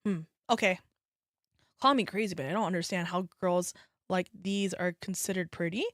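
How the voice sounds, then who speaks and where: A woman's voice: lowish voice, moderate pace, no room sound, clean studio sound. A woman in her thirties reacting to a video with casual, sympathetic chatter, gasping and sighing. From United States. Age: 30s. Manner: chatty, sympathetic, frequent gasps and sighs. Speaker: VTuber streamer reacting to a video.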